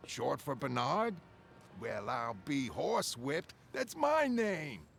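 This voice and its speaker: A man voicing a chatty working-class city street character in a colloquial, animated manner in an animated comic. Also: mid-range voice, takes it slowly, creaky, a little hiss or hum, voice pushed up, exaggerated voice. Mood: bantering.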